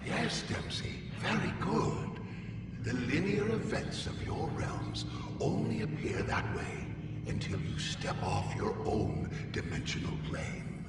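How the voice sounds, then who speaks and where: A man voicing an ancient otherworldly being in a video game, speaking formally and cryptically, like one patiently lecturing from on high. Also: big pitch swings, slow pace. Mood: lofty, instructive. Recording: very echoey hall, distant, loud music.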